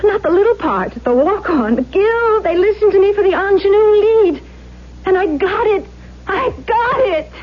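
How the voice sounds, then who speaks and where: High-voiced, earnest young actress. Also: female voice, lively intonation, normal speed. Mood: excited. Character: earnest, excitable young aspiring actress in love. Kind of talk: 1970s radio drama acting. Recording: muffled, noisy 1970s radio recording.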